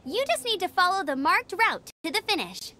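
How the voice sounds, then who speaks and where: A woman's voice: very high-pitched, very animated, moderate pace, sharp tone, a little hiss or hum, exaggerated voice. A woman voicing an upbeat, earnest young female scout in a video game, perky and chatty. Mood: earnest, encouraging.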